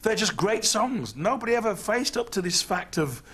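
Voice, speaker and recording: A man's voice: high-pitched, sing-song, moderate pace, fairly bright tone, breathy edge. A man in an interview, recalling the past and slipping into quoted voices. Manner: storytelling, slips into voices. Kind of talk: reflective interview.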